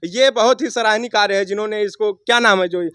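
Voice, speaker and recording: A man's voice: high voice, fast, fairly bright tone, clean tone, no room sound, studio quality. A man, speaking as a local news reporter in a rhetorical, declamatory way that addresses the viewers. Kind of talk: spoken news commentary and interview.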